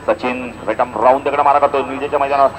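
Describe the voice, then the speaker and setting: A man's voice: medium pitch, flat delivery, racing, rough recording. A man with a Maharashtrian accent doing live cricket commentary and announcements. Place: outdoor cricket ground. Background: crowd.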